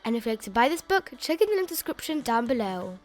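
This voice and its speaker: A woman reading a children's picture book aloud in an expressive, emphatic way, stretching out key words. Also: a little high, fast. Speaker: children's story reader. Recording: music bed behind the voice.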